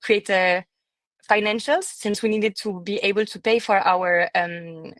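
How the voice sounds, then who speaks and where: Woman presenting research, measured with fillers. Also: mid-range voice, fairly bright tone, no echo. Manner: measured, with frequent fillers and restarts. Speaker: student presenter. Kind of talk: academic conference presentation. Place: conference room.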